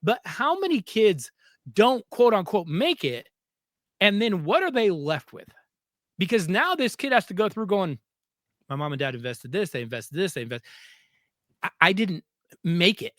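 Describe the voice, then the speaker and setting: A man's voice: sits high, big pitch swings, moderate pace, pauses often, a bit bright, dry recording, studio quality. A man hosting a conversational interview, emphatic and repeating phrases to stress his points. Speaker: podcast host, former coach.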